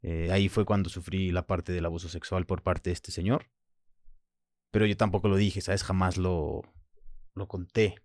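A man in his thirties with a Mexican Spanish accent, French as his first language, speaking as an interview guest in a reflective, halting way, often pausing with fillers. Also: low-pitched, level tone, darkish tone, no room sound, clean studio sound. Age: 30s. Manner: reflective, halting, frequent "pues" and "¿sabes?". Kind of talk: personal interview.

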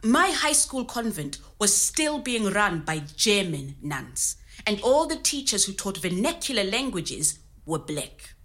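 A woman's voice: mid-range voice, sing-song, measured pace, hardly any pauses, fairly bright tone. An actress playing a frank, spirited middle-aged Zimbabwean woman recounting her youth in an interview-style audio drama, with a Zimbabwean accent. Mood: frank, reflective.

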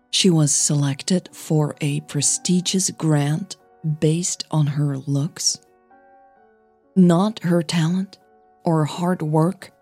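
A woman narrating a scripted audio story as its host, measured and deliberate in her delivery. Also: low voice, warm tone, breathy edge, no echo.

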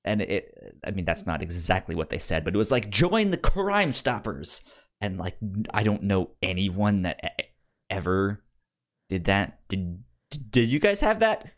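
A man co-hosting a podcast in casual chat, halting and often stammering over repeated words. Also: low voice, sing-song. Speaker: podcast co-host.

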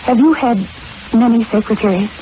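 A woman's voice: on the high side, moderate pace, natural voice. An actress playing an earnest, anxious young woman in old-time radio melodrama, insistent and pleading, repeating her phrases. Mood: accusing, desperate. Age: young adult. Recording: dull, hissy vintage radio recording.

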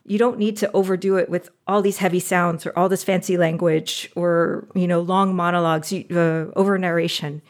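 A woman in casual conversation, animated and talkative with frequent laughs. Her voice sits in an ordinary middle pitch. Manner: animated, chatty, laughs often. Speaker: podcast guest, audio drama producer. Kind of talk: casual interview chat.

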